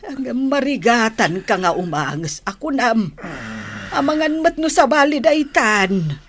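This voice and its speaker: Slow, fretful old mother. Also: on the high side, animated, a little hiss or hum. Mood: worried. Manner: sighing, fretful, scolding. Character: anxious, nagging, loving elderly Filipino mother. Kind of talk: Ilocano radio drama acting.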